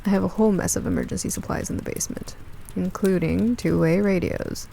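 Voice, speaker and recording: A woman's voice: on the low side, fairly even intonation, brisk, gravelly, warm tone, a little hiss or hum. A woman voicing a folksy, wry older woman who startles easily, in a radio drama. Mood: wry, teasing.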